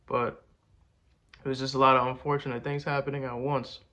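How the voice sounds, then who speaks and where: A man's voice: medium pitch, flat delivery, a little fast, bright, forceful tone. A man with a congested voice, talking to camera in a laid-back, slangy, rambling way. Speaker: rapper and video host. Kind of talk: casual talk to camera.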